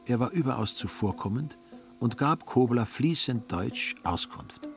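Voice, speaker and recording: Mid-pitched male literary narrator, faint music. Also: animated, a little slow. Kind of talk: scripted literary narration for radio play.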